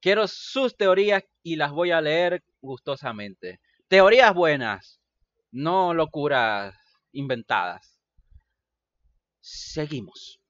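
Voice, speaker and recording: A dull, lo-fi recording of a man commenting on a game. He speaks emphatically to his viewers, with very animated pitch, and circles back to his questions. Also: takes it slowly, no room sound. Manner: emphatic, repeats questions with mild swearing. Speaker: gameplay narrator and fandub host.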